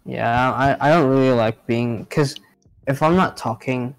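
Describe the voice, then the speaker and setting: A man's voice: mid-range voice, a little slow, clean tone, no echo, soft background music, rough recording. A young man co-hosting a casual podcast chat, rambling with many fillers and restarts. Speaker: podcast co-host.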